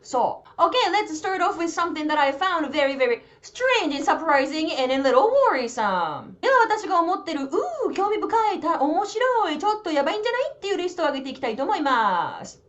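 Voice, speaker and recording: Lively Japanese-accented woman, chatty. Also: high voice, muffled recording. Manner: chatty, animated, switches between languages, drawn-out explaining. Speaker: vlog host. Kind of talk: casual bilingual vlog talk.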